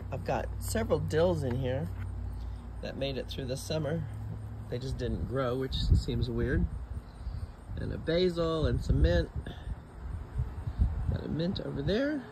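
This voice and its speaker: A man with a Texan accent giving a relaxed, chatty tour of his backyard garden, filmed on a phone as he walks. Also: rough recording. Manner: relaxed, chatty, lively rises in pitch. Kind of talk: casual walking garden tour. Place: outdoors in a suburban backyard garden. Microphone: phone camera held while walking. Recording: outdoor phone audio with clear background noise.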